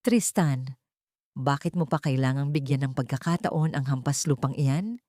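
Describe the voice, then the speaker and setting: A woman's voice: extremely low pitch, normal speed, dark tone, dry recording, clean studio sound, pitched below the performer's own voice. A woman voicing a haughty, scornful rich grandmother in an audio story, snapping and sneering.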